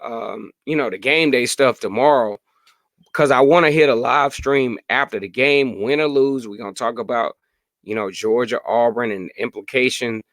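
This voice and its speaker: A hoarse man in his late thirties hosting a casual sports podcast live stream, chatting freely and answering viewer comments. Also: medium pitch, no room sound. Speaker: podcast host.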